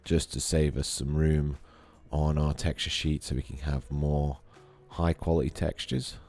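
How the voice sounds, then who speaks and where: A man with a slightly hoarse voice from a cold, thinking aloud with fillers as he streams a casual modeling tutorial at his computer. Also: extremely low pitch, warm tone. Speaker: 3D artist streaming a modeling session. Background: faint background music.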